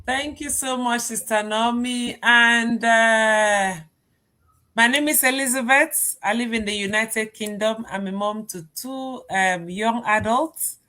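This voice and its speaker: A woman's voice: medium pitch, a bit bright. A woman hosting an online chat, friendly and a little hesitant, with many fillers as she introduces the speakers. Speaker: host.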